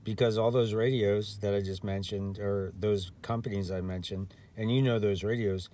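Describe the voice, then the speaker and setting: A man talking casually on his own as an amateur radio operator, rambling with many fillers and restarts and clearing his throat now and then. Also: fairly low pitch, fairly even intonation, normal speed, mellow, a little hiss or hum. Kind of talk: casual unscripted monologue.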